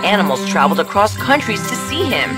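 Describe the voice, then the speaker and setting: Lively woman narrating a fairy tale. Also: mid-range voice, fast talker, loud music behind the voice, rough recording.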